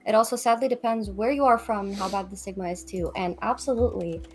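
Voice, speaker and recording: A woman's voice: medium pitch, fast, a little mellow. A young woman from Lebanon speaking as a guest in a conversational discussion, earnest and thoughtful. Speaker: guest, psychology PhD student. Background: background music.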